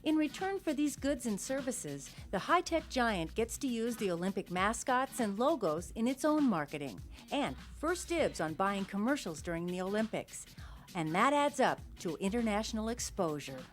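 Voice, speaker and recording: A woman reading a scripted news voice-over with a crisp, lightly wry delivery. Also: medium pitch, very animated, normal speed. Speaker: news reporter. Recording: voice-over with faint music behind.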